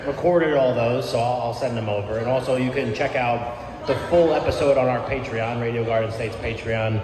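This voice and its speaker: A man's voice: mid-range voice, steady tone, moderate pace. A man hosting a radio show, easygoing and affirming, giving plugs and sign-offs, recorded from a distance in an echoey hall with a crowd. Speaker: radio host.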